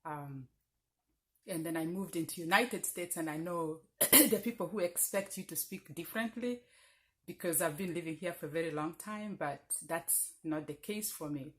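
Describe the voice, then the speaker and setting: Low-voiced woman with a Ugandan Lugbara accent. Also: moderate pace. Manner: reflective, earnest, with many fillers and restarts. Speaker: vlogger. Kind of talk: casual personal talk to camera.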